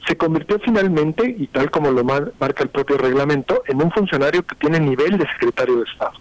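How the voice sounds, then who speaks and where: A Mexican man with a Mexican Spanish accent, a legal scholar answering questions in a radio interview, measured and explanatory with touches of dry sarcasm. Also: on the high side, lively intonation, no echo, poor audio.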